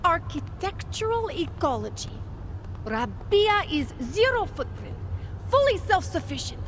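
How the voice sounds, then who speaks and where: A high-pitched, very animated female corporate recruiter in a video game. She is an idealistic true believer who pitches with fervent, polished conviction. Light ambient noise sits behind her. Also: unhurried, full character voice. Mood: fervent admiration. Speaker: company representative. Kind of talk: video game dialogue acting.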